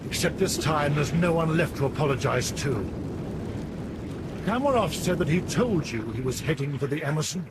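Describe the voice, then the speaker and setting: Actor as troubled airline captain, higher-pitched, rumbling noise. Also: male voice, animated, normal speed, natural voice. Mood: bitterly sarcastic. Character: troubled, bitter male airline captain, firm and decent. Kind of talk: 1970s radio drama acting. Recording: 1970s radio recording, loud rumbling noise.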